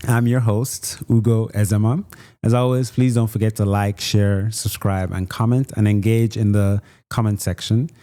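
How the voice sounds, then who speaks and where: A man's voice: on the low side, steady tone, moderate pace, warm tone, smooth. A man from Nigeria hosting a podcast, chatting casually with his guest and nudging him along with frequent affirming murmurs. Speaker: podcast host. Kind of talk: casual interview chat.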